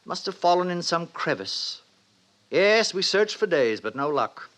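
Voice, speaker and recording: Pompous, animated middle-aged director. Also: male voice, sits high, unhurried, full character voice. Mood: musing, wistful. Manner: pompous, stuffy, musing aloud. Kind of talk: 1950s radio drama acting.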